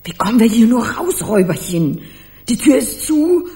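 A woman playing a lively, indignant young robber's sweetheart in a radio play. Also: fairly high pitch, normal speed. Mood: anxious, playful.

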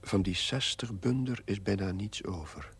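An actor giving the wry, murmured inner narration of a village doctor in an old TV drama. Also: male voice, normal speed, natural voice. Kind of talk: voice-over narration. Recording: old TV audio with faint rumble.

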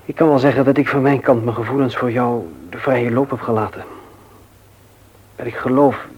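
An actor playing an earnest, disappointed suitor who speaks haltingly in a radio drama. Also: male voice, mid-range voice, steady tone, husky, lo-fi sound, lightly acted. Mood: taken aback, hurt. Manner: halting, hesitant. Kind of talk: intimate radio drama dialogue.

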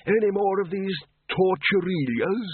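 A high, reedy old wizard voice drawls along cheerfully and amiably, as a man puts him on. He rambles at an unhurried pace. The sound is thin and phone-like, with no room around it. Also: animated, husky, no echo, full character voice. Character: cheerful very old male wizard on his last day. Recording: narrow phone-like sound, dry.

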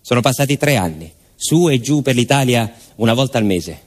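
Theatrical male narrator, deliberate and emphatic. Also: medium pitch, lively intonation. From Salento, southern Italy. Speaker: stage narrator and actor.

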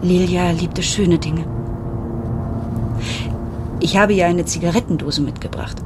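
Actress as reflective first-person narrator, lowish female voice, measured literary radio drama telling. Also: noisy background, lightly acted. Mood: reminiscing. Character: reflective woman telling her family's story.

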